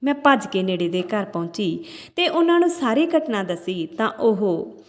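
A woman's voice: medium pitch, very animated, normal speed. A woman narrating a dramatic first-person story from a script, as a storyteller. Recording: long hall-like reverb on voice.

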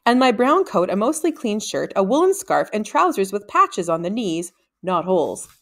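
A woman's voice: medium pitch, sing-song, moderate pace. A woman voicing a plain-spoken, cheeky young boy thief in a storybook, frank and matter-of-fact. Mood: defensive.

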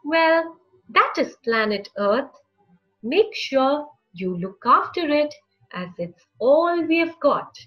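High-pitched woman reading a children's picture book. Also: big pitch swings, slow, muffled recording. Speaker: children's storybook narrator. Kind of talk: read-aloud picture book narration for young children. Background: music.

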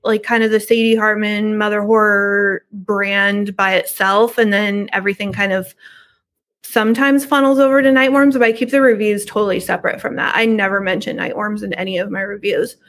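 A middle-aged woman talks in a casual interview style. Her delivery is informal and conversational at a medium pitch. She is at home on a video call. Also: little pitch movement, normal speed, fairly bright tone. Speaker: podcast guest, book reviewer and horror entrepreneur.

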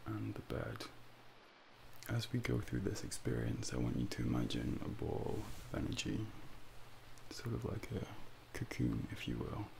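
A young man doing a soft, breathy ASMR role play as a calm Devil, soothingly guiding a listener into sleep with deliberate pauses, close to the mic. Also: rumbling low, slight background noise, rough recording. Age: young adult. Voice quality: breathy, half-whispered. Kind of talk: ASMR soft speaking, guided sleep hypnosis. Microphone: close binaural microphone.

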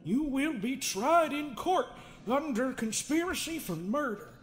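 A man's voice: extremely high pitch, sing-song, measured pace, exaggerated voice. A man voicing an unhinged, sardonic therapist with folksy menace, theatrical and mocking, in an improvised comedy dub of a horror game. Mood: sly, amused.

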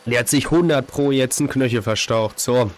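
A hoarse man in his thirties with a cold, casually commenting on a horror game at a desk microphone, reacting jumpily to scares. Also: medium pitch, normal speed. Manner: chatty, jumpy reactions to scares. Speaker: let's play host. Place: home streaming room, indoors. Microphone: desk microphone, headset on.

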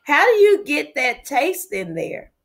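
A woman's voice: high voice, sing-song, unhurried, fairly bright tone, voice pushed up, full character voice. A woman reading the part of an earnest, lonely, curious young girl in a storybook, in a plain-spoken way. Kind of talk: storybook character read aloud.

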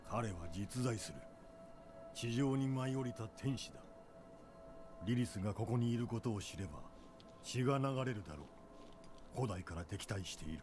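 Game role: male actor as stern, weary wanderer-mentor, low voice, grave and dismissive, over music. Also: natural voice. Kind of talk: dubbed video game dialogue.

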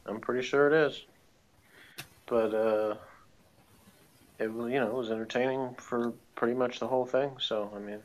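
A man co-hosting a casual review, speaking in a halting, colloquial way. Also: mid-range voice, steady tone, rough recording. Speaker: review co-host. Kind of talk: casual chat.

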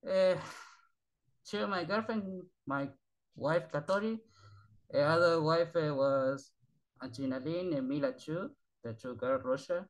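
A tired man, a native Spanish speaker, rambling in halting, repetitive broken English with sighs as he talks to his channel's viewers from a small bedroom on a webcam. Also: on the high side, low-quality recording. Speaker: channel host. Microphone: webcam at desk.

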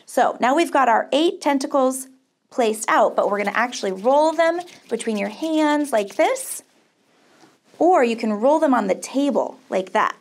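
Female children's craft host, middle-aged, high-pitched, encouraging, upbeat, speaking directly to kids. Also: animated, a little slow. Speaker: children's camp counselor host. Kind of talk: children's craft tutorial presenting. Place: small studio set, indoors.

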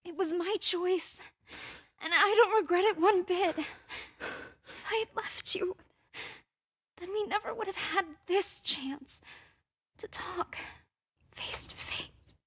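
A young grown daughter in a video game drama, earnest and full of feeling. She speaks slowly in a very high, bright, tense voice. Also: heavily acted. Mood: tearful, loving. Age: young adult. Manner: earnest, emotional. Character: emotional, devoted grown daughter.

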